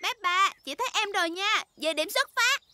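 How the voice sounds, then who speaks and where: A woman's voice: very high voice, animated, normal speed, very noisy. A woman voicing a self-assured older girl pig cousin in a cartoon dub, bossy and playful with grown-up airs.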